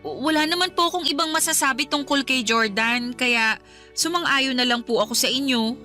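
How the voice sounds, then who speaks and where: A woman's voice: high-pitched, brisk, sharp tone, soft background music. A woman playing a meek, wronged young niece, polite and hesitant, in a radio drama. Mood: meek, reserved. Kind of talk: Filipino radio drama acting.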